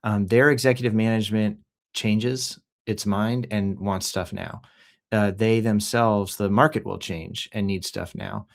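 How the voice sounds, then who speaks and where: A middle-aged man hosting a livestream, talking casually and jokily in an animated, rambling, off-the-cuff way with rhetorical questions. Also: on the low side, a little slow, gravelly. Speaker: host of a livestream news show. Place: office.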